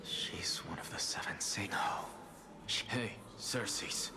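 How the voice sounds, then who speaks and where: Whispery, guarded fantasy loner. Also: male voice, fairly low pitch, takes it slowly, vocal fry. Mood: hushed, stubborn. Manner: terse, reserved. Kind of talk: scripted game voice acting.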